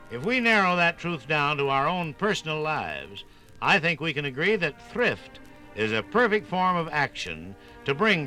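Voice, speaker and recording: A man's voice: on the high side, bright, forceful tone, low-quality recording. A man narrating a scripted 1950s radio documentary in an emphatic, polished announcer's delivery. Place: radio studio.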